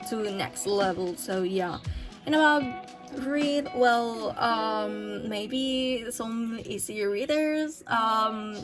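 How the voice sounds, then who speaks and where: A young woman talking casually about her own language goals, with many hesitations and fillers, in a language that is not her first. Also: fairly high pitch. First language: not English. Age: young adult. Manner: hesitant, many fillers and restarts, soft laughs. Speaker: language-learning vlogger. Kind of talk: casual vlog talk.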